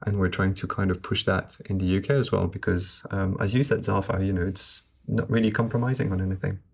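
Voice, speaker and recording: Low-voiced man. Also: steady tone, gravelly, slightly rough. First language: French. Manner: measured, explanatory. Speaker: guest translator. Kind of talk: conversational podcast interview.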